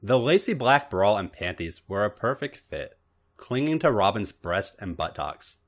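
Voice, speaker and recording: A man's voice: fairly low pitch, sing-song, normal speed. A man hosting a podcast, reading a piece of fan fiction aloud as dramatic story narration.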